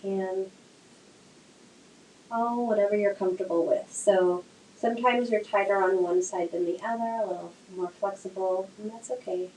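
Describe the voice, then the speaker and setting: A woman teaching a slow yoga class with gentle, soothing cues, her voice a little nasal from a cold. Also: mid-range voice, steady tone. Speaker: yoga instructor. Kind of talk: guided yoga class instruction. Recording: echoey room, faint noise.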